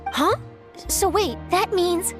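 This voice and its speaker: A woman playing a keen, polite young female reporter in a video game, with an expressive, inquisitive manner. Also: very high voice, slow pace, music in the background. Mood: surprised, sympathetic.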